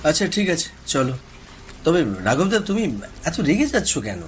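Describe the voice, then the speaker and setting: A man's voice: a little high, sing-song, moderate pace. An actor playing an easygoing, teasing younger village man in a cartoon. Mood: placating. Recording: faint rain noise behind voice.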